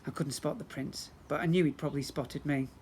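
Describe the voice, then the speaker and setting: A man's voice: fairly high pitch, a little fast. Man reading a children's story, noisy recording. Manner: expressive, dramatic storytelling. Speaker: teacher reading to pupils. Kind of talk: children's storybook read-aloud.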